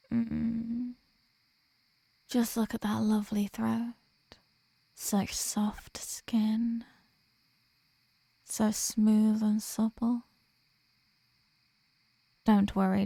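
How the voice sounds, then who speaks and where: A woman acts out a seductive, possessive female vampire in an ASMR roleplay. She speaks extremely slowly in a dark, soft tone with a creaky edge, teasing and commanding as she slips into breathy half-whispers. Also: fairly high pitch, no room sound, exaggerated voice.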